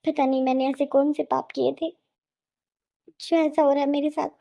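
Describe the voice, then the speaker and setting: A woman's voice: sits high, level tone, normal speed, vocal fry, warm tone. A woman voicing a weary, hurt young daughter-in-law who pleads plaintively in a melodramatic cartoon. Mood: sorrowful, aggrieved. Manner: plaintive, pleading, self-pitying. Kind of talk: melodramatic Hindi cartoon voice acting.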